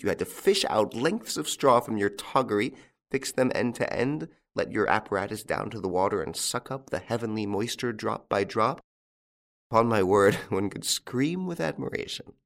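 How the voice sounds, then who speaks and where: A man voicing a cheerfully mocking, self-assured gentleman in an audiobook, with a teasing, theatrical manner. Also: mid-range voice, expressive, normal speed, creaky. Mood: mock admiration. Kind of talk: audiobook character acting.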